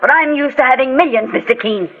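High, haughty villainess, tinny old radio. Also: female voice, quick pace. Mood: haughty, boastful. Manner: theatrical, menacing, imperious. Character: haughty, greedy murderous society woman with a gun. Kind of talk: 1940s radio drama acting. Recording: tinny, band-limited 1940s radio recording.

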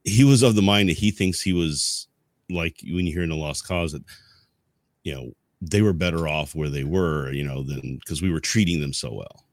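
A man with a notably low voice talks casually about history as a podcast co-host. His delivery is halting and rambling as he explains, and the sound is dry and close, with little room on it. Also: no echo.

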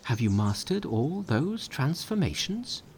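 A man's voice: a little high, big pitch swings, a little slow, a little mellow, a little hiss or hum, pitched above the performer's own voice. A man voicing curious young male disciples in a storybook reading, raised and eager.

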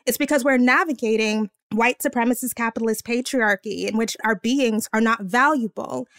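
Woman guest, fairly high voice, earnest and emphatic, dry sound. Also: normal speed, a bit bright, no echo. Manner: earnest, emphatic, rising pitch when stressing points. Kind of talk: earnest conversation.

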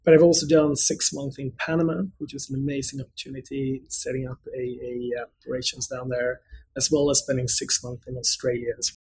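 A man's voice: mid-range voice, flat delivery, fairly quick, a little mellow, slightly rough, low-quality recording. A middle-aged man from Sweden with a mixed international Swedish accent, answering conversationally as an interview guest. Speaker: interview guest, company executive.

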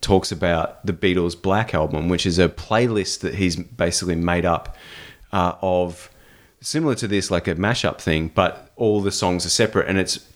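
Very deep-voiced man hosting a podcast. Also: normal speed. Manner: enthusiastic, swears freely. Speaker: podcast co-host. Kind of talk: casual chat. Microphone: podcast microphone on a stand.

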